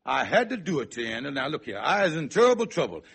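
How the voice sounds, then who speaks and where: A man playing a scheming, henpecked husband in old-time radio comedy, with a raspy voice and excitable, wheedling, folksy ungrammatical speech. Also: a little high, fast talker, full character voice. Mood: pleading. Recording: vintage radio broadcast recording.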